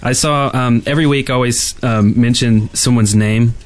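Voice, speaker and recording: A male podcast co-host with an average-pitched voice. He talks casually and rambles a little, pausing as he hunts for the next thing to say. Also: fairly even intonation. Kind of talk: casual chat.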